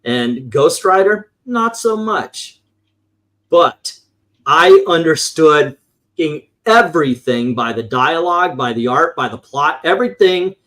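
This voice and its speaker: A man's voice: fairly high pitch, animated, takes it slowly, a bit bright. A man reviewing comic books in casual, opinionated panel chat, rambling and swearing, acting out his exasperated reactions.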